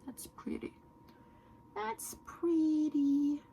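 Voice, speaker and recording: Slow, gravelly-voiced woman. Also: sits high, slight background noise, low-quality recording. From Norway. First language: Norwegian. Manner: soft, often trailing into a whisper. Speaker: beauty vlogger. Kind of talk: makeup tutorial chat.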